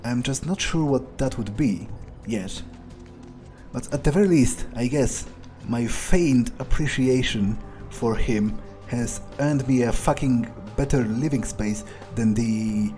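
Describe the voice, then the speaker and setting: Man playing a mellow, cynical vampire. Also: mid-range voice, a little slow, faint music behind, natural voice. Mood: brooding, suspicious. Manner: musing, hesitant, swearing. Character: cynical, suspicious, foul-mouthed young male vampire, thinking aloud. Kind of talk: in-character game roleplay monologue.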